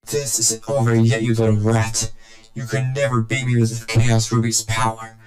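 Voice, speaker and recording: An actor voicing a menacing, melodramatic, taunting villain bent on destroying the world in a video game cutscene. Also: male voice, fairly low pitch, completely flat delivery, normal speed, a little mellow, echoey room, soft background music, lightly acted. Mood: gloating menace.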